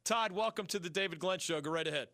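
Sports radio host, male, high bright voice, fast and emphatic, dry studio sound. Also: dry recording. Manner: fast, emphatic, opinionated, rising to rhetorical peaks. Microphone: studio mic.

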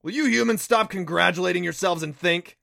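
A man voicing an impatient, gruff male kelpie, a magical water horse, in a storybook. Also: fairly high pitch, brisk, sharp tone. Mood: irritated.

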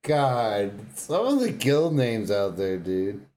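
A man's voice: lowish voice, sing-song, slow, darkish tone. A man co-hosting a podcast, chatting casually about games in a blunt, offhand way. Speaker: podcast co-host. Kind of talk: casual gaming chat. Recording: faint background noise.

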